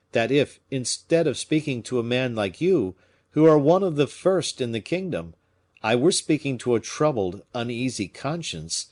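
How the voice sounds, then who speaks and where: A man voicing a shrewd, courteous musketeer captain in an audiobook, speaking in a measured, pointed, formally polite way. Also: mid-range voice, darkish tone. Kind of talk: audiobook character acting.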